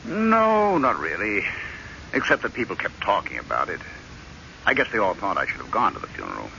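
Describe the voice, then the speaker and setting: Resentful radio-play husband: sharp, gravelly, sardonic; muffled old recording, faint hiss. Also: male voice, on the low side, wildly swooping pitch, fairly quick, exaggerated voice. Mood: uneasy. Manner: sardonic, insistent, brooding. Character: bitter, resentful husband, an estranged stepson. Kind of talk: radio drama acting.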